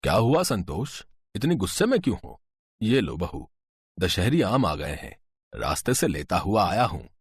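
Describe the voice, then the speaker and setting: A man's voice: on the low side, moderate pace, husky, heavily acted. A man voicing an easygoing older father-in-law in a cartoon story. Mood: cheerful. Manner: relaxed, indulgent.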